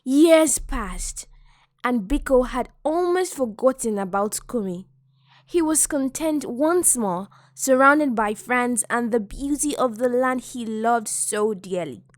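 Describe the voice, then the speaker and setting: A woman narrating a folktale in a calm, measured, scripted reading. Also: a little high, no echo, studio quality. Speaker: storyteller.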